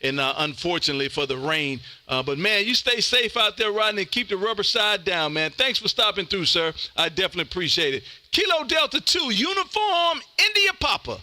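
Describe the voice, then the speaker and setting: A man's voice: high voice, very animated, a little fast, hardly any pauses, pushed and bright, no room sound. An American man hosting an amateur radio show, chatting on air in an exuberant, folksy, emphatic way. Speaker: ham radio show host.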